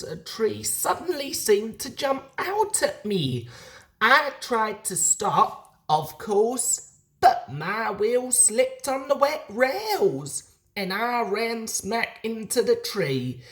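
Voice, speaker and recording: A woman voicing a proud, animated little steam engine. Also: a little high, slow pace, pitched above the performer's own voice. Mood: dramatic, animated. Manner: boastful, dramatic storytelling. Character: proud, chatty male steam engine recounting adventures.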